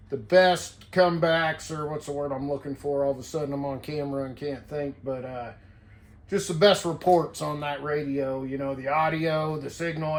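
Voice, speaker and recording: Higher-voiced American man, roomy sound. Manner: folksy, plain-spoken, unscripted. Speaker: hobbyist vlogger. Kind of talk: casual rambling talk to camera. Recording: roomy echo.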